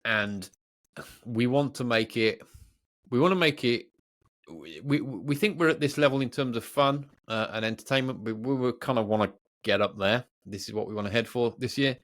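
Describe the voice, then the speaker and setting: A man co-hosting a podcast, chatting casually in a jokey, teasing, animated way. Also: medium pitch, normal speed, no room sound, clean studio sound. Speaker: podcast co-host. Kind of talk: casual banter between co-hosts.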